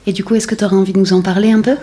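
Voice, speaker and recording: A woman's voice: medium pitch, flat delivery, very fast, warm tone, breathy edge. A woman taking part in a casual round-table talk and putting questions to the others. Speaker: discussion participant asking questions.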